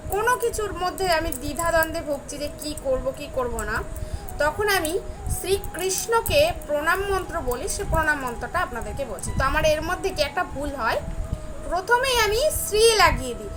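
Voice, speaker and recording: A woman's voice: high-pitched, big pitch swings, normal speed. A young woman speaks earnestly to viewers in a personal devotional talk, repeating phrases for emphasis. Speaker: devotional vlogger. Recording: clearly noisy, ambient background sound.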